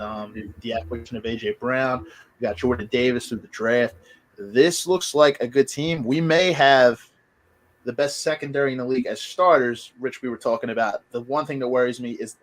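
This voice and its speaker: Man, podcast host, casual sports chat, friendly with the guests, mid pitch. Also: moderate pace, rough recording. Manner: relaxed, talks to the guests as friends.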